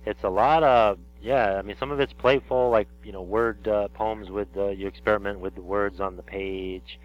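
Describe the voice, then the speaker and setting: A man interviewing a guest on the radio, speaking a little low and circling his points with fillers and restarts. The audio is dull and lo-fi, like an old radio broadcast. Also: steady tone. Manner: rambling, halting, many fillers and restarts. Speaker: interview host. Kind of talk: casual interview.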